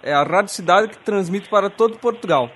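A man from Brazil, a radio comedian making a prank call, speaking slowly and hesitantly with exaggerated politeness and many restarts. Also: sits high, noisy background.

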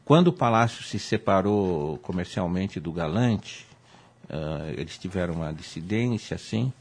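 An older man with a Brazilian accent, a film director recalling his work in an interview, unhurried and often trailing off. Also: sits low, animated, darkish tone, slightly rough. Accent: Brazilian Portuguese. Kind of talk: relaxed interview recollection.

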